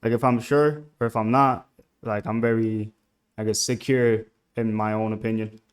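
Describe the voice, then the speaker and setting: Soft-spoken Puerto Rican young man. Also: fairly low pitch, flat delivery, moderate pace, clean tone. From Puerto Rico. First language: Spanish. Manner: hesitant, soft-spoken, trailing off. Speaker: musician guest. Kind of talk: casual interview over a call.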